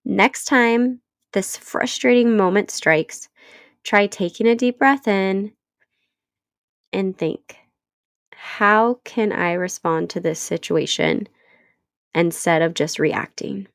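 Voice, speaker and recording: Woman podcast host, thirties, stuffy from a cold, slow and earnest, dry close mic. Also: mid-range voice, vocal fry, clear, resonant tone, no room sound. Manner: earnest, encouraging, unhurried. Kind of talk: solo podcast talk.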